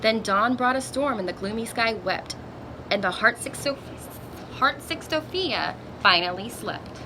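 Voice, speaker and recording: A woman reading a children's picture book aloud in an expressive storytelling manner, with little asides to young listeners. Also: on the high side, measured pace, background noise. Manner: expressive, acts out exclamations and dialogue.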